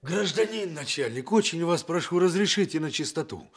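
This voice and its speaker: An actor playing a roguish young thief in a radio play, colloquial and wheedling. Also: male voice, a little high, moderate pace, slightly rough. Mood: earnest pleading. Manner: wheedling, colloquial, theatrically expressive. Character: roguish young male Odessa thief, cheeky yet pleading. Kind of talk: Soviet radio play acting.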